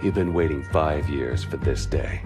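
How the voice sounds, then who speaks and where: An extremely deep, grave soldier's voice narrates a military game story. He is measured and matter-of-fact, with very loud music underneath. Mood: grave, reflective. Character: male soldier recounting a war, grave and measured.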